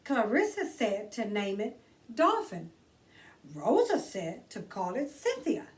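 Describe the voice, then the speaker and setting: A woman's voice: on the high side, wildly swooping pitch, slow pace, far from the mic. A woman hosting a children's storytime, reading a storybook aloud expressively and addressing young listeners directly in a theatrical way.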